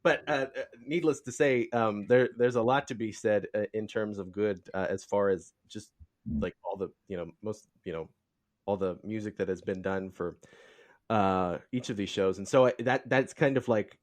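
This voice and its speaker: Low-voiced man, halting podcast host. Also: fairly even intonation. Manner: halting, rambling, searching for words. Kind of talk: casual interview chat.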